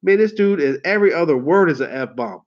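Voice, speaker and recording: A man hosting a casual livestream. He tells rambling stories, laughs and swears easily, and sounds hoarse from a head cold. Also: a little high, lively intonation, fairly quick. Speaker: car sales livestream host. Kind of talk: casual livestream chat with viewers. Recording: dull lo-fi stream audio.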